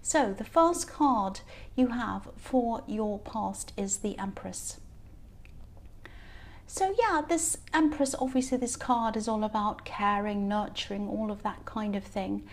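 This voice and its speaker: A woman with a tired, croaky voice, giving a casual tarot reading in a rambling, hesitant way, reassuring and earnest, with many fillers and restarts. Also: medium pitch, slow pace. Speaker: tarot reader.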